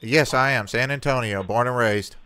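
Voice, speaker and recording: Man, hesitant stammering talk, plays dumb, smooth resonant voice, phone prank call. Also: medium pitch, moderate pace, sharp tone. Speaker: scam baiter streamer. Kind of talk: playing a naive caller on the phone.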